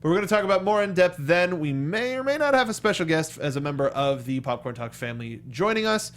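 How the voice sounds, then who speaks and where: A man's voice: sits high, big pitch swings, fast. A man hosting a review show in a casual, informal and enthusiastic way. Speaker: show host.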